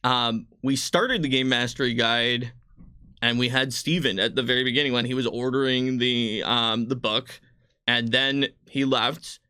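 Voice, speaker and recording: A man hosting a casual livestream interview as a game designer, speaking informally and haltingly as he sets up questions. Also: medium pitch, steady tone, pushed and bright, smooth, no room sound, studio quality.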